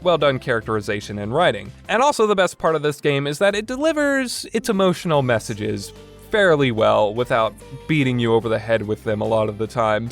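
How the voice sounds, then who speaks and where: Man reviewing a game over music. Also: medium pitch. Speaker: podcast host and reviewer. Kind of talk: casual opinionated game review. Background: background music.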